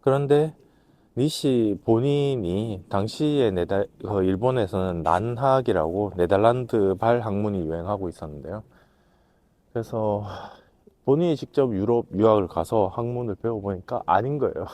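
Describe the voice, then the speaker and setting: Low, mellow man with a cold. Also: normal speed, dry recording. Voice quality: hoarse from a cold. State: has a cold. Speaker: host, management consultant lecturer. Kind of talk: reflective philosophical talk to camera.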